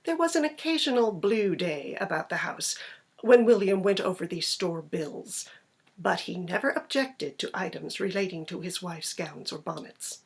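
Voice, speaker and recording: A woman's voice: fairly low pitch, expressive, normal speed, breathy edge. A woman reading a classic short story aloud as scripted narration.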